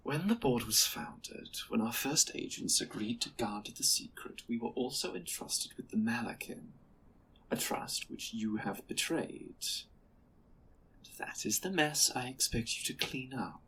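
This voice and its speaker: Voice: medium pitch, expressive, a little slow, fairly bright tone, a little hiss or hum, poor audio. An actor playing an imperious, condescending superior in a sci-fi audio drama, speaking in a formal, commanding, disdainful way. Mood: stern. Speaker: guest voice actor. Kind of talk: sci-fi audio roleplay acting.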